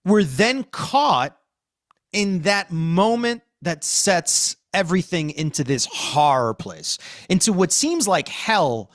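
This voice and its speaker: A man with a fairly high voice hosts a podcast discussion. He speaks unhurriedly and emphatically, with very lively intonation, like someone talking through a review. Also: slow pace, no room sound, studio quality. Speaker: podcast host.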